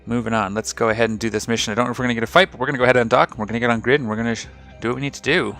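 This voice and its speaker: A man hosting a casual game video, talking through his ship setup with a slightly rough, hoarse voice. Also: mid-range voice, very fast, soft background music. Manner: relaxed, rambling, explains ship fittings. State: slightly rough voice after a night of singing. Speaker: gaming channel host. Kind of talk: casual gameplay commentary.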